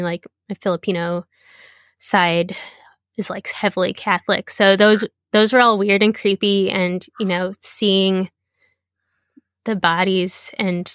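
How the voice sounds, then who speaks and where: A young adult woman being interviewed, talking in a halting, casual way with many fillers and restarts. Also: mid-range voice, fairly bright tone, no echo, clean studio sound.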